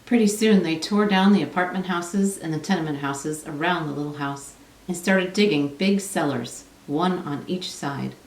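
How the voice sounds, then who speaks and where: Woman, children's read-aloud, lowish voice, echoey, distant mic. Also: moderate pace. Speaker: storybook reader.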